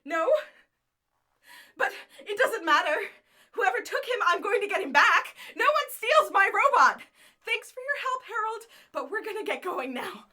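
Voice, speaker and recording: An extremely high, squeaky voice of a devoted, distraught young friend in an audio drama. The delivery is bright and forceful, full of pleading and exclamation. It sounds openly emotional and cartoonish. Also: female voice, sing-song, normal speed, full character voice. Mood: anxious, determined. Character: distraught, loyal young friend searching for a lost robot.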